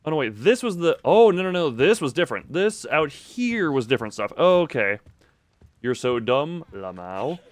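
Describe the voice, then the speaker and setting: A man's voice: a little high, sing-song, moderate pace, dry recording. A man doing casual live video game commentary, rambling playfully to his viewers and repeating himself as he exclaims.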